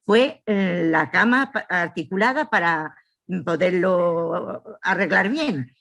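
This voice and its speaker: A woman's voice: lowish voice, slow, vocal fry, a bit bright. Spanish woman giving a personal testimony. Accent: Castilian Spanish from southeastern Spain. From Spain. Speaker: course attendee and family carer. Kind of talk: personal testimony at a public talk.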